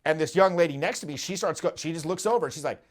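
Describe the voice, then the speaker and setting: A man in his thirties, a dating coach hosting a casual podcast chat, telling stories animatedly and acting out the voices of people he quotes, recorded in a dark studio. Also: a little high, fast talker. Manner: animated storytelling, acts out quotes.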